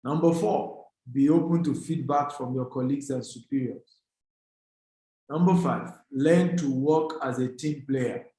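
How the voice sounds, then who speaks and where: A man's voice: mid-range voice, measured pace, dark tone. A man with a Nigerian accent giving an emphatic motivational business talk, exhorting his online audience and repeating key phrases. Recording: very echoey, reverberant room.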